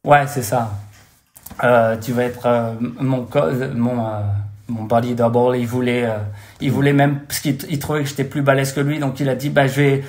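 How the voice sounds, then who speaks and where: A man's voice: mid-range voice, level tone, moderate pace, darkish tone. A French man with a slight American-tinged accent, a guest chatting casually over a remote call, often losing his words and restarting. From France. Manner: searching for words, many restarts and fillers. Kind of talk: casual interview chat. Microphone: remote call over computer.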